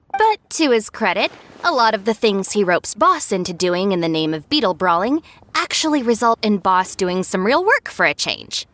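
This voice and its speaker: Dry, expressive young gang deputy. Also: female voice, mid-range voice. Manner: dry, articulate, explanatory. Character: sensible young woman, dryly exasperated gang deputy. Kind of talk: anime-style video game voice acting.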